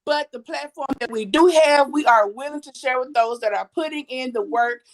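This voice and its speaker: A woman with a slightly raspy voice, hosting a casual livestream and chatting with viewers in a repetitive, friendly way. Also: sits high, normal speed. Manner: chatty, repeats herself, reads viewer comments aloud. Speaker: livestream host.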